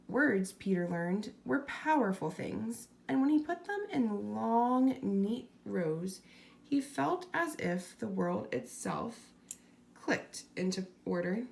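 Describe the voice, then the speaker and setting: Animated woman reading a storybook aloud. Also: medium pitch, gravelly. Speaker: storybook reader, narrator. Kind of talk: expressive scripted storybook narration.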